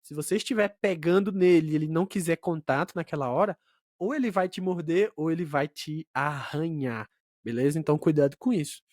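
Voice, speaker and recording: A man with a high-set voice chats in a friendly way as co-host of a language lesson and sets up examples. The sound is close and dry, with no room around it. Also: brisk, darkish tone, no room sound. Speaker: podcast co-host and language teacher. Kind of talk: casual teaching chat.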